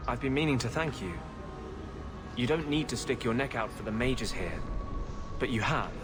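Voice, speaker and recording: An actor playing a tormented, earnest rebel mage in a fantasy video game, intense and brooding. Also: male voice, mid-range voice, lightly acted. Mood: wry, grateful.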